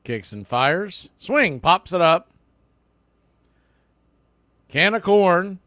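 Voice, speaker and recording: A man's voice: high-pitched, lively intonation, very slow, a bit bright, no room sound, studio quality. A man doing live baseball play-by-play in clipped short phrases, with casual asides.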